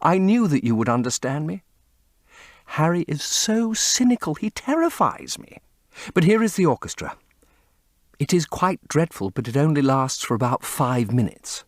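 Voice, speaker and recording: A man voicing a rapturous, lovestruck young gentleman in an audiobook, gushing and emphatic. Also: medium pitch, dry recording, studio quality, voice pushed up, lightly acted. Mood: eager, adoring. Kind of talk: audiobook character acting.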